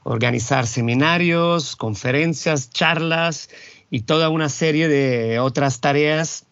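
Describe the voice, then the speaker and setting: A man from Italy, an Italian native speaker, speaking as a guest in a thoughtful, measured way, pausing to find his words. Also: fairly high pitch, a bit bright, clean tone, no room sound, dull audio. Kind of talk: reflective interview talk. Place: home, Barcelona.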